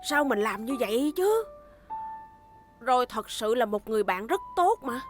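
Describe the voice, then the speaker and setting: A woman playing a hot-headed, blunt boy racer in a dubbed animated series. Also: a little high, normal speed, music in the background. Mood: remorseful. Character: hot-headed, stubborn boy racer, loyal friend at heart.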